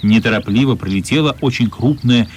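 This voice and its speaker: A man's voice: medium pitch, fairly quick, a little hiss or hum. A man narrating a radio play in a scripted storytelling style.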